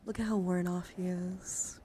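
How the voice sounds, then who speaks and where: A woman livestreamer talking in a casual, rambling ASMR style, with a soft voice that often slips toward a breathy whisper, close to the microphone. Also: on the low side, level tone, moderate pace, a little hiss or hum. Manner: rambling, informal. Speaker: livestreamer showing card decks.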